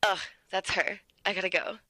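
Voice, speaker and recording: A woman's voice: medium pitch, fairly even intonation, normal speed, sharp tone, dry recording. A woman playing an exasperated, gossipy teenage girl in naturalistic audio drama dialogue. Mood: gossipy, wary.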